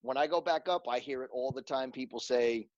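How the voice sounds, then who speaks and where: A man's voice: mid-range voice, brisk, lo-fi sound, a crowd in the background. A man with a New York accent, a guest on a podcast, talking in an easygoing way and often repeating words as he starts a sentence. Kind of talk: casual interview.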